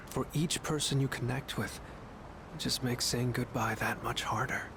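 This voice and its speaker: A man's voice: medium pitch, steady tone, normal speed, noisy background, close to an everyday voice. A man voicing a melancholy, philosophical young man in a video game, pensive and subdued. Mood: wistful. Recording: game dialogue with music behind.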